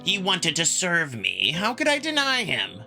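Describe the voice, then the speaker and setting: A man's voice: sits high, wildly swooping pitch, unhurried, gravelly, pushed and bright, soft background music, pitched above the performer's own voice, heavily acted. A man voicing a scheming, smug wolf prince with a haughty, dismissive manner.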